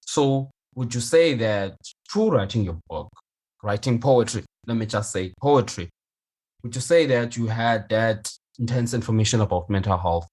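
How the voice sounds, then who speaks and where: A man hosting a podcast, asking his guest questions in a halting way, restarting words as he phrases them. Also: on the low side, expressive, darkish tone. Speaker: podcast host. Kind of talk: interview questions.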